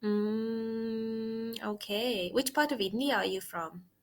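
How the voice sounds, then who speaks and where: A chatty woman in her twenties tutors English over a video call. She giggles often and keeps asking questions. Her voice sits a bit high. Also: fairly even intonation, slow pace. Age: 20s. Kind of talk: casual video-call conversation lesson.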